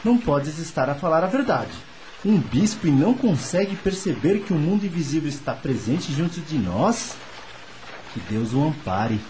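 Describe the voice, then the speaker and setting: An actor playing an excitable young gentleman, a devoted spiritualist, expressive and formal in a radio drama. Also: on the high side, moderate pace, rough recording. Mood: indignant. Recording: background noise, ambience effects.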